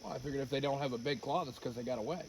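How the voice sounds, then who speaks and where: A man's voice: mid-range voice, very fast, a little mellow. A man chatting casually in a joking, wisecracking way while helping with a job. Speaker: helper on the project. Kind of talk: casual chat. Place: outdoors in a rural spot. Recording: clear outdoor noise with insects.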